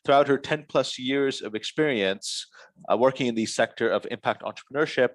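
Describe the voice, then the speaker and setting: A man's voice: medium pitch, a little fast, dry recording. A man moderating an interview, introducing the guest and asking questions with many uhs and you-knows. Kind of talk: online panel moderation.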